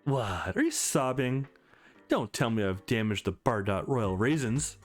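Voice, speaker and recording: A man's voice: mid-range voice, big pitch swings, unhurried, faint music behind. A man voicing a brash, playful young woman in a visual novel, teasing with mock innocence.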